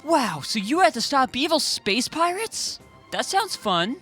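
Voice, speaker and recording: A woman playing an outspoken, curious hero in an animated comedy. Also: high voice, unhurried, heavily acted. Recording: music behind voice.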